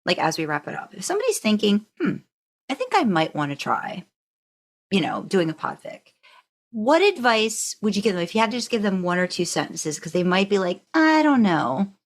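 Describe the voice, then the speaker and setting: A woman's voice: mid-range voice, sing-song, moderate pace. A woman hosting a podcast in a casual, conversational way, effusive and chatty with rambling asides. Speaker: podcast host. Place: remote video call.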